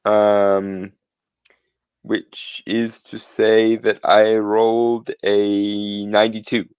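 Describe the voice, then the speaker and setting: A man playing a tabletop game, talking casually in a measured, wry, wordy way over a slightly dull microphone. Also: on the low side, flat delivery, darkish tone, clear, resonant tone, dry recording.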